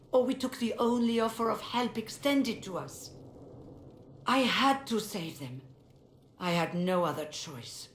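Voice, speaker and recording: A woman's voice: medium pitch, close to an everyday voice. Woman playing a weary mage leader, echoing room. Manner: formal, earnest. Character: weary, defensive female leader of rebel mages. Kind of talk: dramatic video game acting. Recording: roomy echo, faint noise.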